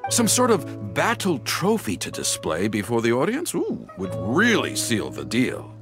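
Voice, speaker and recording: A male actor voicing an excitable, flamboyant storyteller in a video game, given to grandiose, dramatic flourishes. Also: medium pitch, unhurried. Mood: eager, coaxing. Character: excitable theatrical male storyteller gathering heroic material. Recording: music under the voice.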